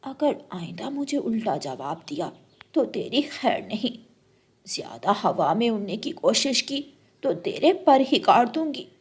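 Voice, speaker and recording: A woman voicing a husky, cruel old mother-in-law bird. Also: a little high, big pitch swings, unhurried, a little mellow, exaggerated voice. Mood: angry. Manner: taunting, bossy, scornful. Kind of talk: Hindi children's cartoon story voice acting.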